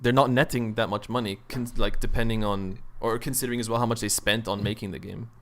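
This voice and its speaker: A man's voice: fairly low pitch, steady tone, fast talker. A man chatting casually about video games as a podcast host, in informal, slangy speech.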